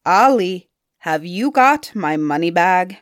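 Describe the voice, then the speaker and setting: A woman voicing a sly male troll in a storybook, calling loudly across the water. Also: lowish voice, lively intonation, takes it slowly, dry recording, clean studio sound. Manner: loud hallooing calls.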